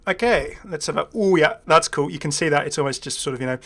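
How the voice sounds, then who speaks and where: A man's voice: fairly high pitch, fast, vocal fry, a bit bright. Chatty man casually commentating on a game. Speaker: gaming video host.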